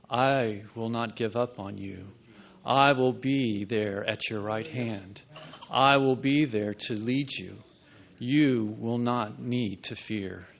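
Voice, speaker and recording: Slow gravelly man prophesying. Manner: solemn, repeating questions and promises. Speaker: prophetic speaker. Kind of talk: prophecy in a church meeting. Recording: dull low-fidelity recording.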